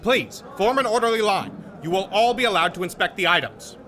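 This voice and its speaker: An actor playing a courteous, formal museum auction presenter in a radio drama. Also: male voice, sits high, a little fast, pushed and bright. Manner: polite, formal announcing. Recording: busy crowd behind.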